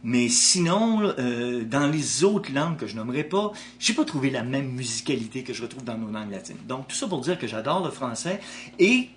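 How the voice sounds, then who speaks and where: A man's voice: mid-range voice, big pitch swings, moderate pace, a little hiss or hum. A man with a Québécois French accent, an author answering interview questions in a thoughtful way, with frequent restarts. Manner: thoughtful, with many restarts and hesitations.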